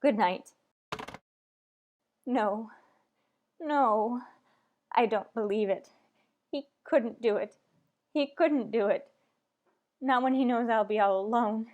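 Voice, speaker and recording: A woman's voice: a little high, takes it slowly, long pauses, darkish tone, dull audio. A woman playing a frantic, demanding married woman, overwrought and melodramatic in a retro radio thriller style. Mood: shaken, then disbelieving.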